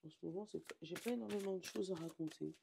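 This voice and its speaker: Hoarse gravelly woman reading cards. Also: on the low side, fast talker, background noise. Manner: rambling, self-correcting, reads card meanings aloud. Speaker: tarot and oracle card reader. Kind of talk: casual daily card reading.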